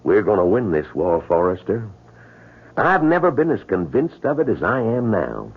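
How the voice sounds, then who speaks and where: Deep, commanding army general. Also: male voice, wildly swooping pitch, moderate pace, breathy edge, full character voice. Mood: proud conviction. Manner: declamatory, authoritative. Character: hearty, commanding Southern army general, grateful and grave. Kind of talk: old-time radio drama acting. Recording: tinny band-limited old radio recording.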